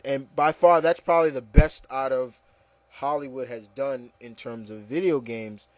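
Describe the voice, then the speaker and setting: A man's voice: mid-range voice, fairly even intonation, moderate pace. A man hosting a casual panel chat about movies, talking in a rambling, enthusiastic way and often saying "you know". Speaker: host of an opinion show.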